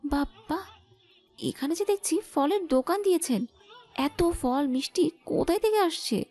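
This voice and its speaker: Audio drama ingenue, female voice actor: high and mellow, sweetly earnest, independent-minded, faint music beneath. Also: expressive, unhurried. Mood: curious. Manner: affectionate, earnest. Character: sweet, eager, independent young daughter.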